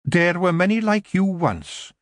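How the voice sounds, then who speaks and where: Guy doing a bossy little scottish fairy mum, raised pitch, clipped and firm. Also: big pitch swings, measured pace, dry recording, studio quality, full character voice. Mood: hushed. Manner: sharp, commanding. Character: shrewd stern female fairy clan mother.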